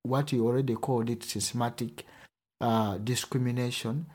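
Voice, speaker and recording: A man's voice: medium pitch, fairly even intonation, normal speed, darkish tone. A man from Uganda with a Ugandan accent, whose first language is Luganda, talking earnestly in a podcast conversation with frequent restarts. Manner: earnest, emphatic, with hesitant restarts.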